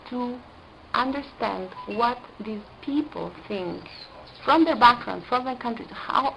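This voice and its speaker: A woman from Costa Rica, an environmental specialist, speaking in an earnest, deliberate way and stressing key words. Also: mid-range voice, vocal fry, fairly bright tone, a little hiss or hum, low-quality recording. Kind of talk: prepared video statement.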